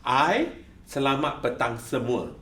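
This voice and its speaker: A man's voice: mid-range voice, slight background noise, natural voice. A man acting a patient, easily exasperated language teacher in an amateur radio play. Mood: instructing. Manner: slow, repetitive, instructing. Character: patient but easily exasperated male Malay-language night class teacher. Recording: echoey room, loud classroom crowd.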